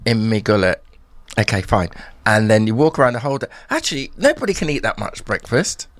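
A man hosting a breakfast radio show, teasing his co-host in quick, jokey banter. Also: fairly low pitch, lively intonation, fairly bright tone. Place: radio studio.

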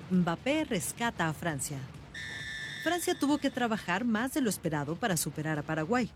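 A woman's voice: fairly low pitch, big pitch swings, moderate pace, noisy background. A woman reading short news and trivia items as scripted narration. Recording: music bed under voice.

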